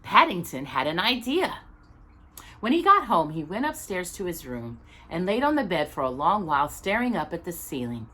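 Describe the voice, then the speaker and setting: Storytime lady reading a kids book, super animated, bright voice w a husky edge, leans into the drama. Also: on the low side, normal speed. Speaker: storytime host reading aloud. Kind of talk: expressive children's storybook narration.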